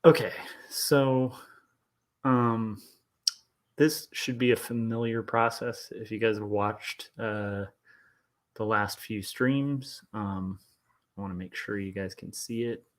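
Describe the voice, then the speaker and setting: Soft-spoken man chatting late at night. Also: on the low side, steady tone, slow. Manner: loose and rambling, full of likes and ums. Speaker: comic artist streaming his work. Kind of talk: casual live-stream chat. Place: home studio at night.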